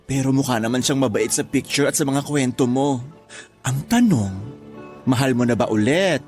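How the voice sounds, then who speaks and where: An actor voicing a woman who is a teasing, blunt office friend, with exaggerated, drawn-out delivery in a radio drama. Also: rumbling low, darkish tone, soft background music. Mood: playful, teasing. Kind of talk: Tagalog radio drama dialogue.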